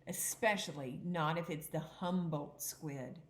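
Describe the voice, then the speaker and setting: A woman's voice: deep voice, slight background noise. Woman teacher reading aloud, echoey room. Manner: deliberate teacherly phrasing, pausing to explain words. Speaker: second-grade teacher. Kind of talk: children's picture book read-aloud.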